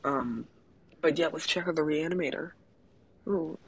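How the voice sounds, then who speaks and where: A young man hosting a mobile game channel, chatting casually with many ums, his voice a little raspy. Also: a little high, moderate pace. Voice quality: slightly raspy voice. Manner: hesitant, many ums, excited about items. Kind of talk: casual gameplay commentary.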